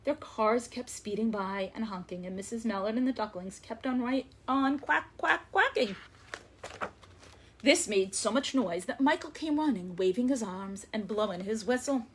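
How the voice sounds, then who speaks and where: A woman reading a story aloud to young children as a librarian, expressive and emphatic, lingering on words. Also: fairly high pitch, normal speed, slight background noise.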